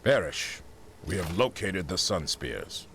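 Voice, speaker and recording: Very deep-voiced loyal general. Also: male voice, big pitch swings, slow, creaky, a little hiss or hum, exaggerated voice. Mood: earnest, worried. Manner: formal, deferential. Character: loyal, devout army general, earnest and dutiful. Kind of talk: video game cutscene acting.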